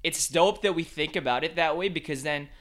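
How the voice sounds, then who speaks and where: Bright-voiced laid-back guy from the Philippines. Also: on the high side, brisk. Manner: laid-back, many fillers like "like" and "man". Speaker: photographer. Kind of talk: casual chat.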